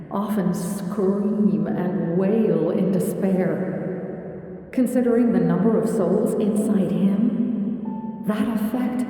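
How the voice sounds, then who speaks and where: Ancient game spirit, very dark female voice, grave and detached, long cavernous reverb. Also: fairly low pitch. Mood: solemn. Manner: measured, grave, detached explaining. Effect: cavernous echo. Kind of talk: dramatic video game acting. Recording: long echo, faint music behind.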